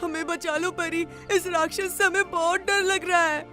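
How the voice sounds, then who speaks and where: A woman's voice: very high voice, steady tone, moderate pace, pushed and bright, faint music behind. A woman voicing a timid little boy in a children's cartoon, childlike and pleading. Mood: frightened, pleading.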